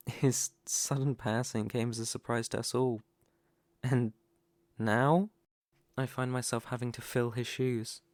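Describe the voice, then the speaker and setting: A man's voice: medium pitch, a little mellow, dry recording, natural voice. A man playing a young mafia heir in a scripted audio roleplay, with polite, formal manners over cunning menace. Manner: measured, courteous, formal delivery.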